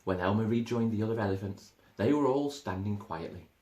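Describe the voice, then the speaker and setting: A man in his thirties giving an expressive narration of a children's storybook. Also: fairly low pitch, fairly quick. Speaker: storybook reader. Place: small living room, indoors. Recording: echoey living room.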